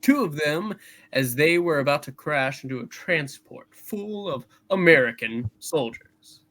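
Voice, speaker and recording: Slow, animated man narrating. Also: fairly high pitch. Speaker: narrator in a live play reading. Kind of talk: hammed-up scripted narration.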